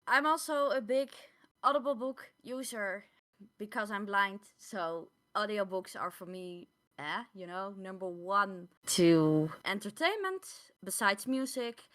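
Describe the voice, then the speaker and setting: A woman's voice: medium pitch, lively intonation, measured pace, a bit bright. A woman co-hosting a podcast in a casual, conversational style. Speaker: podcast co-host.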